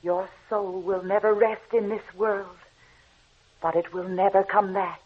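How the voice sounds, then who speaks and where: Through a narrow old radio band comes a woman back from the grave. She speaks slowly and solemnly, giving cold, vengeful commands. It is portentous vintage horror drama. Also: mid-range voice, fairly even intonation, slightly rough. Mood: insistent, ominous.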